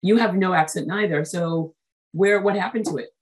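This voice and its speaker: Chatty, effusive woman host, lower voice. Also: moderate pace. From United States, Haitian family. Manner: effusive, chatty, lots of fillers. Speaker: podcast host. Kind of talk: casual interview chat.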